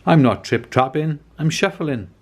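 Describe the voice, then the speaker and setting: A man's voice: on the high side, expressive, normal speed, pitched above the performer's own voice. Man playing a storybook troll, hungry, scheming, exclamatory, easily cowed, swooping intonation. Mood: nervous. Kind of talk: children's storybook character voice.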